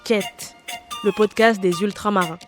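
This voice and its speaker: A woman in her twenties reading a scripted personal narration in French as a podcast host, measured and reflective, in short phrases. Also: mid-range voice, steady tone, music in the background. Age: 20s.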